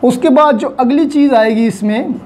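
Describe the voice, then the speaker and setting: A man with a Pakistani accent speaks in a mellow, relaxed tone as a law lecturer. He explains step by step with examples, asking questions and then answering them. English terms and phrases are mixed into his delivery. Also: very high-pitched, normal speed.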